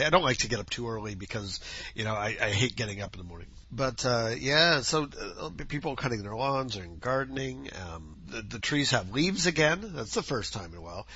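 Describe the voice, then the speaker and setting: Male podcast host, scratchy and husky, animated rambling solo chat, dull lo-fi home recording. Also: medium pitch. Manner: rambling, chatty, self-correcting. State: scratchy voice from dust. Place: home, outdoor noises faintly heard.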